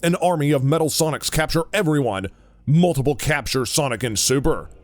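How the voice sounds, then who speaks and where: A man's voice: on the high side, a little fast, no room sound. A man giving scripted narration for an audio drama as its narrator.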